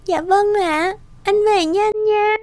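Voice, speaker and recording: A woman's voice: extremely high pitch, fairly even intonation, takes it slowly, a little mellow, no room sound, studio quality. A woman voicing a sickly little girl in a cartoon, weak, plaintive and childlike. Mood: weary. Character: frail, sickly little girl, clinging younger sister.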